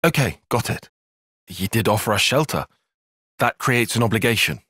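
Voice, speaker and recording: An earnest young tactician in a video game cutscene. His voice is a little husky and recorded close and dry. He speaks in formal, bookish sentences, with pitch that moves a good deal. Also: lively intonation, normal speed, bright, forceful tone, no room sound, heavily acted. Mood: composed, analytical. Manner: precise, formal, bookish phrasing. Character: analytical, earnest young male soldier and tactician. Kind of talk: dramatic game cutscene acting.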